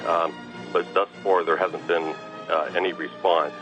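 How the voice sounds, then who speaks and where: An American man giving political commentary as a radio guest over the telephone, halting and often restarting his sentences. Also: sits low, level tone, fairly bright tone, soft background music. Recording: narrow tinny phone line.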